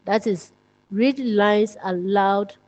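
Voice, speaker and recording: A woman's voice: medium pitch, takes it slowly, darkish tone, muffled recording. A woman narrating a scripted writing lesson, reading out points step by step like a teacher.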